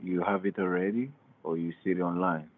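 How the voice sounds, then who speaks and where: A man's voice: low voice, fairly even intonation, brisk, phone-quality sound. A man calling as a scammer, insistently pressing for phones and purchases. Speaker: scam caller.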